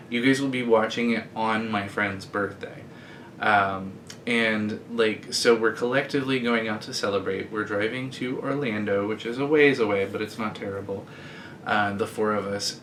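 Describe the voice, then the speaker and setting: A man's voice: fairly low pitch, a bit bright, a little hiss or hum. A man in his late thirties chatting casually to camera from indoors, rambling and joking at his own expense. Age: 30s. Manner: chatty, rambling and self-deprecating. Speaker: vlogger. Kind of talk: casual direct-to-camera chat. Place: indoors, sitting against a bed frame.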